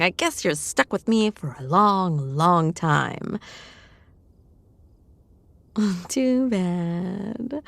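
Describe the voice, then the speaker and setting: Woman playing a playful, lively-pitched lamia best friend. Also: dry recording, exaggerated voice. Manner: teasing, cozy, often dropping into breathy whispers. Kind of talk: roleplay audio acting, intimate one-sided conversation.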